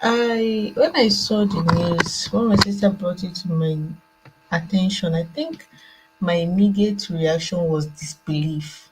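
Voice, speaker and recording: A woman's voice: on the low side, a little slow, soft background music, rough recording. A woman with a Nigerian accent giving unscripted opinions as a remote guest, speaking hesitantly and reflectively and repeating phrases for emphasis. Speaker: remote guest on a panel discussion. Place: indoors at a laptop on a remote online call.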